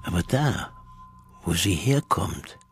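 An actor playing an ominous, solemn teller of ancient cosmic mysteries in a radio drama, speaking poetically. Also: male voice, sits low, big pitch swings, takes it slowly, music in the background, full character voice. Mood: solemn, mysterious.

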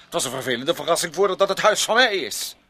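A man's voice: fairly high pitch, lively intonation, fast talker, bright, forceful tone. A man acting in a Dutch radio play as a domineering, jealous bully with a sneering, taunting manner. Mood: scornful, taunting.

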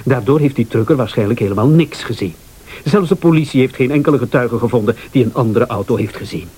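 Guy playing a cornered flemish lover in a radio drama, mellow dark voice, sneering sarcasm, brushes everything off. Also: medium pitch, quick pace, slightly rough, natural voice. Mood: dismissive, defensive. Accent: Flemish Belgian. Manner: sarcastic, dismissive. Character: cornered scheming lover, a mocking, cynical ex-barkeeper. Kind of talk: radio thriller acting.

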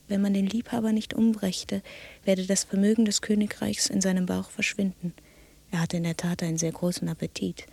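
Solemn fairytale teller in radio play, hushed breathy creaky voice, even and detached. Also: female voice, medium pitch. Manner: measured, detached storytelling. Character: subdued, solemn woman telling a dark fairy tale. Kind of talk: literary storytelling in German radio drama.

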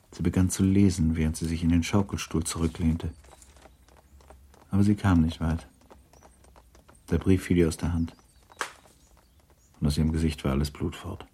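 A man narrating a literary radio play in a grave, measured, restrained manner. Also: low voice, mellow, slightly rough. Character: grave, restrained narrator of a 19th-century novel.

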